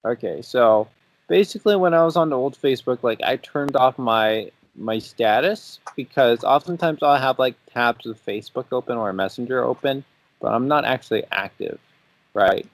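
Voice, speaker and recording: A man's voice: mid-range voice, normal speed. A twenty-year-old man chatting casually as a podcast host, with a joking, teasing way of asking questions. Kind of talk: casual chat.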